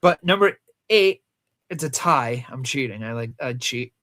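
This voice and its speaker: A man's voice: mid-range voice, lively intonation, unhurried, background noise. A man with a Rhode Island accent, chatting casually and teasingly as co-host of a movie countdown show. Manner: joking, teasing. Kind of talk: casual film chat.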